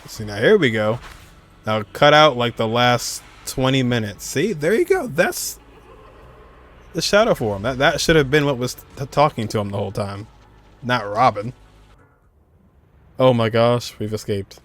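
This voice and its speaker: A man's voice: mid-range voice, lively intonation, a little slow, long pauses. A man giving casual reaction commentary on game cutscenes, slangy and full of exclamations.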